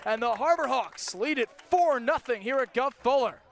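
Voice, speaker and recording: A male baseball broadcaster with a very high-pitched voice delivers live radio play-by-play. He calls the game in punchy, clipped phrases, stretching words out as plays get bigger. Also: expressive, slight background noise. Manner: punchy clipped calls that build to drawn-out shouts on big plays.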